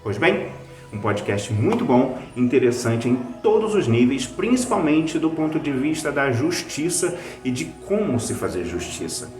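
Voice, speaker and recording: Brazilian man with lively, swinging intonation. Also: medium pitch, normal speed, echoey room, soft background music. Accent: Brazilian Portuguese. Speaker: video host recommending podcasts. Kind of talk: conversational scripted review.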